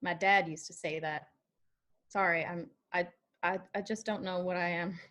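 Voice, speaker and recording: A woman's voice: on the low side, fairly even intonation, normal speed, a bit bright, lightly acted. A woman playing a wry, wistful young bar waitress in a screenplay table read, dry and folksy. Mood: tired, teasing.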